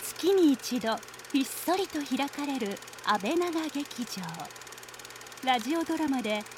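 High-voiced female drama narrator. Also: expressive, unhurried, background noise. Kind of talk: scripted radio drama narration.

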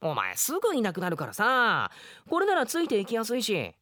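A man's voice: high-pitched, wildly swooping pitch, fairly quick, pushed and bright, voice pushed up, full character voice. A man playing a carefree, kind young male adventurer in a radio drama, boyish, breezy and eager. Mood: kind, casual.

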